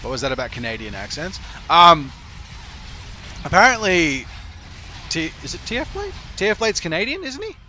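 Bright, sarcastic, swearing game coach. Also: male voice, a little high, big pitch swings, moderate pace, long pauses, a little hiss or hum. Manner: sarcastic, profane, joking. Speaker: game coach reviewing replays. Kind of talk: live-streamed replay commentary. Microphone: headset.

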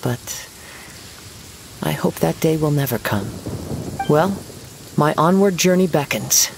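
A man voicing a gentle, serene young wandering poet swordsman in a game, with measured, formal, poetic phrasing. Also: fairly high pitch, creaky, full character voice. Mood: gentle, warm. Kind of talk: scripted game dialogue, storytelling. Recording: steady rain noise behind voice.

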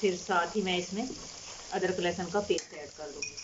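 A woman cooking-channel host explaining a home recipe step by step in a calm, unhurried way with frequent fillers. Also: fairly low pitch, highs cut off, background noise. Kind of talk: recipe instruction over cooking footage. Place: home kitchen.

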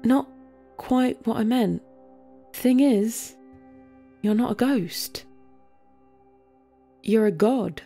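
A woman's voice: a little high, drawn-out words, pauses often, dark tone, faint music behind, full character voice. A woman voicing a blunt, casual guide to the dead in a story, explaining things matter-of-factly. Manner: matter-of-fact, offhand.

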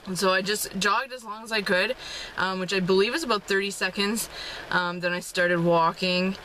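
A woman's voice: mid-range voice, steady tone, moderate pace, a bit bright, slight background noise, rough recording. A young woman in her twenties chatting casually to the camera from a parked car, upbeat and rambling, with lots of ums and little laughs. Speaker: fitness vlogger. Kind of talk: casual vlog talk to camera. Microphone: camera held at arm's length. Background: rain outside.

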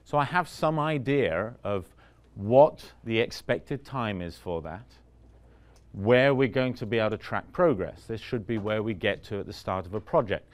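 A man's voice: lowish voice, expressive, normal speed. Man giving a conversational conference talk. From Vancouver, Canada. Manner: conversational, asks the room questions, frequent "right?". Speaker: conference speaker. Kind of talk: conference talk with audience questions. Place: conference room in front of an audience.

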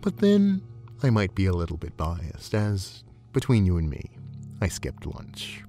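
A man voicing a genteel, soothing, playfully predatory snake-creature counselor in a measured, eloquent roleplay audio. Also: deep voice, wildly swooping pitch, dark tone, faint music behind, full character voice. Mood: playful, sly.

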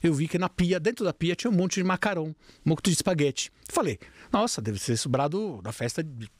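A man in his fifties with an Italian accent tells long stories in an interview. His pitch swings up and down with lots of life, and he acts out the voices he quotes. The sound is close and dry, picked up on a desk mic in a small studio room. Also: a little fast, dry recording. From Italy. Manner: animated, gestures widely, acts out quoted voices. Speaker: guest. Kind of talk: storytelling interview. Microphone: desk microphone on stand.

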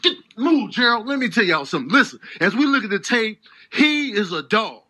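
A man voicing an indignant little dog in a comic animal voice-over, scolding loudly with exasperation. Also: high-pitched, sing-song, a little fast, full character voice. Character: indignant little dog protesting being petted.

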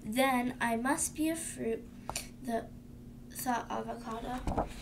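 Female co-reader, high pitch, picture book read-aloud with small stumbles and restarts, slight background noise. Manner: halting reading with stumbles and self-corrections.

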